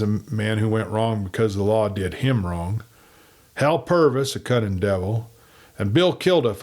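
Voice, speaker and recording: A man voicing a shrewd, hard, steady lawman in a western story, with a blunt, commanding manner. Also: fairly low pitch. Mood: businesslike, confident.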